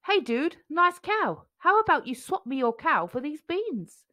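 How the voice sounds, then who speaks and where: A woman's voice: sits high, very animated, a little slow, bright, forceful tone, pitched above the performer's own voice, heavily acted. A woman voicing a sly, laid-back mango trader in a storybook. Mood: coaxing.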